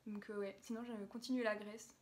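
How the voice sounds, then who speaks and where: A woman's voice: mid-range voice, flat delivery, brisk. A young woman co-hosting a casual question-and-answer chat, laughing often between her phrases. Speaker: co-host of a lifestyle blog channel.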